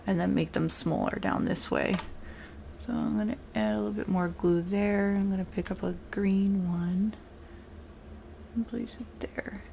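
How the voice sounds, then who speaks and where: A woman's voice: mid-range voice, fairly even intonation, a little slow, vocal fry, dark tone, a little hiss or hum. A young woman with a strong New York accent, chatting bluntly through a makeup tutorial. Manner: chatty, blunt, says like a lot. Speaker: makeup vlogger. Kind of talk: casual makeup tutorial chat.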